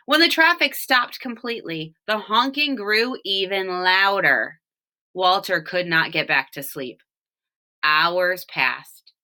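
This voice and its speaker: A woman reading a children's story aloud expressively, with deliberate emphasis on key words. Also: medium pitch, pushed and bright. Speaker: storybook reader, teacher reading for home learning.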